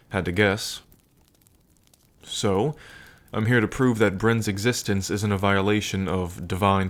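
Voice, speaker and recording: A man playing an affectionate, wry and regal dragon king in a soft, unhurried ASMR roleplay monologue. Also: lowish voice, close to an everyday voice. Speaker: roleplay character performer.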